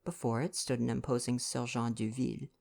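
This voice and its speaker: A man reading a novel aloud in a gentle, intimate, expressive ASMR bedtime style. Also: medium pitch, fairly quick, creaky.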